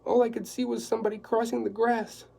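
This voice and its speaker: A man reading aloud and voicing a nervous, tearful woman housekeeper who talks in a high, fretful whimper. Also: flat delivery, fast talker, gravelly, warm tone, exaggerated voice. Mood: anxious, fretful.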